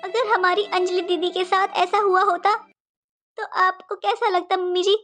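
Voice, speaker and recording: A woman's voice: very high voice, level tone, normal speed, a bit bright, faint music behind. A woman voicing an indignant young daughter-in-law in a cartoon melodrama, accusing and dramatic, full of rhetorical questions. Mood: anguished, reproachful. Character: indignant young daughter-in-law defending her jilted sister. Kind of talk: Hindi cartoon family melodrama voice acting.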